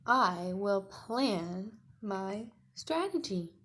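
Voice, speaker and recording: Woman voicing a tiny, earnest, slow-speaking young dinosaur. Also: medium pitch, expressive, background noise. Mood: determined. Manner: emphatic, deliberate, childlike delivery. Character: tiny earnest young male T. rex, determined. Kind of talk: children's storybook read-aloud.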